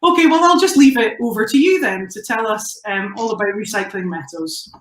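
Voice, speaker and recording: A woman's voice: a little high, expressive, a little fast, echoey room. A woman with a Scottish accent hosting a live online science event, chatty and welcoming as she greets viewers and introduces speakers. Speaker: host.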